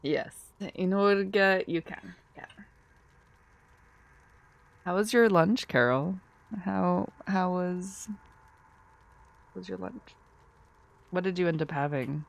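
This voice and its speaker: A woman's voice: on the low side, slow pace, creaky, pauses often, dry recording, poor audio. A woman streamer just woken from a nap, chatting drowsily with her viewers in a sleepy, giggly, rambling way. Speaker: live streamer. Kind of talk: casual live-stream chat, answering chat.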